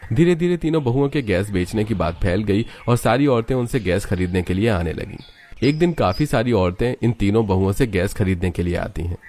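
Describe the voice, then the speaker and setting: A man narrates a story from a script. His voice sits on the low side, and he delivers it as a storyteller recounting events. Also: lively intonation, fairly quick, a little mellow.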